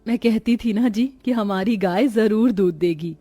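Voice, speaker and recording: A woman playing a soft-spoken village wife. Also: medium pitch, a little slow, soft background music, lightly acted. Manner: soft, compassionate. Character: kind, devout village wife.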